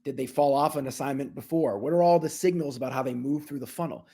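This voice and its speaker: A male executive with a mid-pitched, gravelly voice and a husky edge. He explains in an informal, conversational manner, as an interview guest would. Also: quick pace, mellow. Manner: informal explainer, checks in with "right?".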